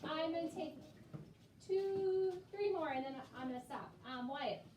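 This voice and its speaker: A woman's voice: high voice, unhurried, a little mellow. A woman primary school teacher leading a classroom discussion with young children, encouraging them and repeating their answers back. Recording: echoey room, distant mic, clear background noise.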